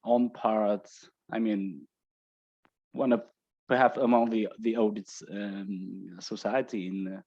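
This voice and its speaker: Low-voiced Vietnamese man, halting speech. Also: steady tone, creaky, warm tone. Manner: hesitant, halting phrasing. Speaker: guest researcher. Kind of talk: explanatory interview answers. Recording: dull lo-fi audio.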